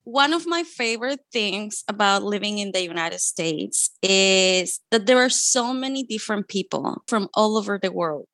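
A woman's voice: medium pitch, expressive, moderate pace, few pauses, a bit bright, no echo. A woman from Chile with a Chilean Spanish accent, speaking English as a second language and telling her own immigration story in an earnest, heartfelt, conversational way. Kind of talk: personal storytelling monologue.

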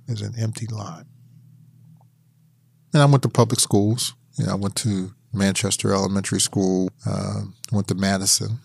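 A middle-aged man from Pittsburgh, telling his life story in a measured, thoughtful interview. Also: on the low side, dry recording, clean studio sound. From Pittsburgh, USA. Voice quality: gravelly. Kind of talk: reflective oral history interview.

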